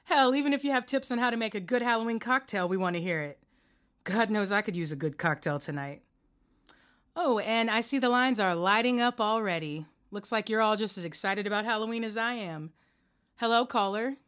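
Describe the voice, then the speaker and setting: A woman's voice: medium pitch, fairly quick, a bit bright, natural voice. An actress playing a wry late-night radio host, presenting to her listeners. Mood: cheerful, teasing.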